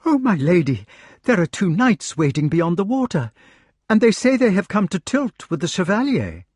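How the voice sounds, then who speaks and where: Man putting on a high, flustered gentlewoman. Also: big pitch swings, normal speed, breathy edge, dry recording, clean studio sound, heavily acted. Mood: flustered, urgent. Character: flustered gentlewoman servant reporting urgently to her lord. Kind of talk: storybook character voice.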